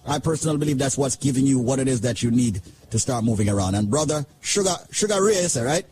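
A man hosting a radio call-in show, brisk and encouraging with his caller. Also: mid-range voice, expressive, noisy background. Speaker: radio host.

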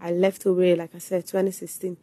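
A woman's voice: on the low side, monotone, brisk, very noisy. A confident, blunt woman from Owerri, Nigeria, speaking as a guest in a casual interview.

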